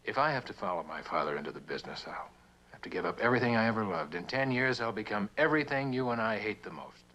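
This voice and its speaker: A proud mineral prospector in an old TV drama. His voice sits fairly low, and he bargains bluntly and indignantly, with a bitter streak. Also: lively intonation, fast, sharp tone, poor audio. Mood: rueful. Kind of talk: mid-century American television drama acting.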